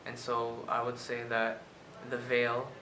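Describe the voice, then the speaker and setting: A man in his late teens speaks haltingly in an interview, very monotone but bright and forceful. The room is echoey. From South America. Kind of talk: personal interview answer. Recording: echoey room, faint rumbling noise.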